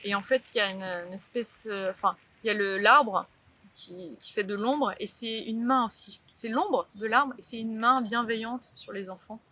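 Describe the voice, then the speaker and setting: A woman's voice: fairly high pitch, normal speed, sharp tone. A young woman from Brittany, a painter, talking casually in an interview with many fillers and restarts.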